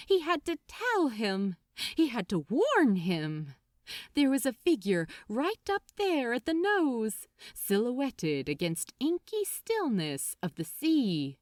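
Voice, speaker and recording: A woman reading a story aloud as a dramatic audiobook narrator, theatrical and deliberate, drawing out key words. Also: on the high side, darkish tone, dry recording, clean studio sound. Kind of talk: dramatic scripted fiction narration.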